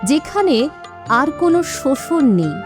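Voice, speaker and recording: A woman narrates a scripted cartoon story. Her voice is somewhat high and moves expressively up and down, with light music playing behind it. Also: big pitch swings, measured pace.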